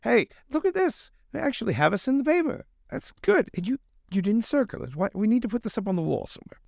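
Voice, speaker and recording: A man's voice: extremely high pitch, very animated, fast, vocal fry, a little mellow, no room sound, clean studio sound, pitched above the performer's own voice, full character voice. A man improvising a polite, hesitant, faintly peevish lord in a tabletop roleplay. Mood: pleased. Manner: polite, hesitant, passive-aggressive. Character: mild young lord, politely peevish, easily pleased.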